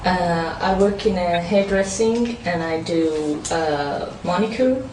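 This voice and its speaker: A woman's voice: lowish voice, slow pace. A woman whose first language is Farsi, answering speaking test questions in a hesitant way with many fillers and restarts. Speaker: exam candidate. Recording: roomy, distant mic, clear background noise.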